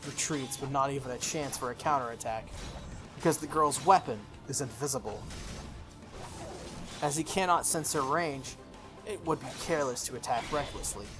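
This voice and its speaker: Game playthrough narrator over music. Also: medium pitch. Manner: enthusiastic, gushing asides between read narration. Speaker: host of a visual novel playthrough. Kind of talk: reading game text aloud with excited commentary.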